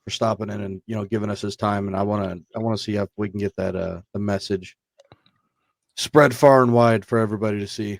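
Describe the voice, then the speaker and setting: A man's voice: on the low side, fairly even intonation, fairly quick, dry recording, clean studio sound. A man co-hosting a casual podcast, talking in an easygoing, rambling way. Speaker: podcast co-host. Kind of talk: casual chat.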